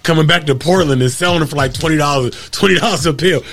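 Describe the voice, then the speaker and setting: A man telling casual stories about his past in a loose, slangy, animated way with lots of repeated phrases. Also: a little high, fast, gravelly. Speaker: guest. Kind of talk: casual storytelling chat.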